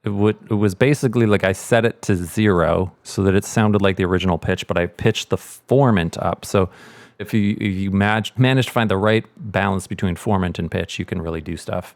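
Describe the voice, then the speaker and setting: A man with a fairly low voice walks through a software demonstration. He talks casually, thinking aloud as he goes, in a very dry recording with no room sound. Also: brisk, no room sound. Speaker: sound mixer giving a tutorial.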